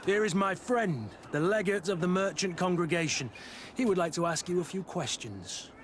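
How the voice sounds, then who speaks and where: An actor playing a blunt, challenging mercenary captain in a video game. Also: male voice, high-pitched, normal speed, a bit bright. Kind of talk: video game cutscene acting. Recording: outdoor ambient noise throughout.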